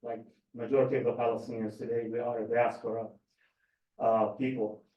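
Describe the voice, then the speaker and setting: Earnest guy on a panel, talks measured with lots of ums, distant mic in a roomy hall, dull sound. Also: mid-range voice, flat delivery. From Gaza Strip. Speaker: panel speaker. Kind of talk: panel talk.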